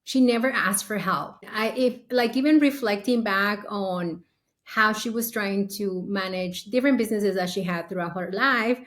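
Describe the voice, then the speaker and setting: A woman's voice: mid-range voice, moderate pace. A woman hosting a podcast interview, upbeat and enthusiastic as she asks questions. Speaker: podcast host.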